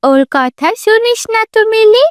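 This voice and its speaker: A very high-pitched cartoon schoolgirl, pushy and excitable. She brags and coaxes in a dry studio sound. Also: normal speed, no echo. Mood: boastful. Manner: excitable, dismissive, coaxing. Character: pushy, boastful schoolgirl obsessed with going viral. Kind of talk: cartoon voice acting.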